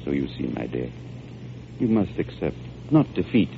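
An actor playing an earnest, regretful manager in a radio drama, speaking formally and solemnly. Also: male voice, extremely low pitch, very animated, moderate pace, creaky, heavily acted. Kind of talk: 1940s radio drama acting. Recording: noisy, muffled old radio recording.

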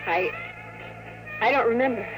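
A woman's voice: a little high, moderate pace, natural voice, rough recording. Woman playing a guarded, pleading young woman, music behind. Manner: hesitant, pleading. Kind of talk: old-time radio drama acting. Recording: old radio drama recording.

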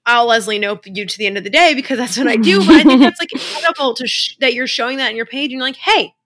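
Fast-talking, bright young woman host. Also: on the high side. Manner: gushing, fast, full of fillers and restarts. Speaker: podcast co-host. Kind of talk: casual interview chat.

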